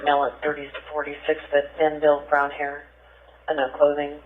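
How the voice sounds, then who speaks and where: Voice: medium pitch, monotone, normal speed, phone-quality sound. A police dispatcher passing calls and descriptions to units over a two-way radio, heard through a scanner.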